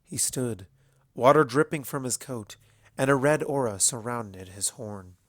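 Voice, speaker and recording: A man narrating a scripted story in a deliberate, dramatic storytelling manner. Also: mid-range voice, fairly bright tone, no room sound, clean studio sound. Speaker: fan fiction narrator.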